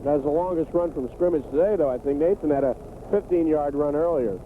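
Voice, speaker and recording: An American man with a high voice offers unscripted, opinionated football analysis in a halting, conversational way. It comes through a narrow, tinny 1980s radio signal with clear noise. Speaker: radio colour commentator.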